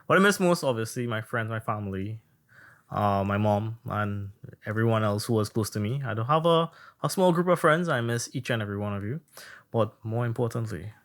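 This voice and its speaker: A man from Trinidad, co-hosting a casual chat and telling stories with animated delivery. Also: mid-range voice. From Trinidad and Tobago. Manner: animated storytelling, acts out others' questions. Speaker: podcast co-host.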